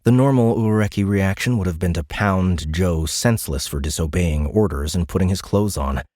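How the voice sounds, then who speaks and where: A man's voice: deep voice, normal speed, darkish tone, no echo, clean studio sound. A man reading scripted science fiction narration for an audiobook in a dramatic storytelling style.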